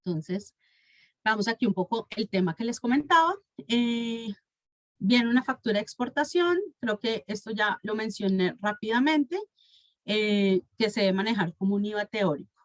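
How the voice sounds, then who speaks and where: A woman's voice: medium pitch, normal speed, no room sound. A woman with a Colombian Spanish accent giving an explanatory professional presentation as a consultant, in a didactic manner and often correcting herself as she goes. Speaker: tax and legal consultant presenting a webinar.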